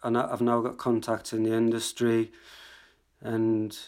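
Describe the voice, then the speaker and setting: Flat-voiced man, calm and measured. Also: mid-range voice. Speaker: writer. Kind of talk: interview.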